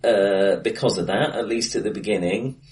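A man co-hosting a casual film discussion podcast, thinking aloud with many restarts and fillers, recorded face to face in a bright, live room. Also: lowish voice, normal speed, far from the mic. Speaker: podcast co-host.

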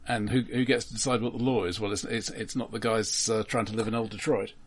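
A man's voice: fairly low pitch, fairly even intonation, fast talker. A man co-hosting a casual film podcast, repeating words as he gives dry opinions. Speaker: podcast co-host.

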